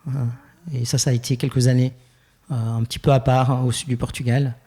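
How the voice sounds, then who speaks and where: A young Swiss French man from Geneva, telling his life story as a podcast guest, thoughtful and hesitant with many pauses and restarts. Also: mid-range voice, little pitch movement, mellow, slightly rough. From Geneva, Switzerland. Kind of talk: reflective personal interview.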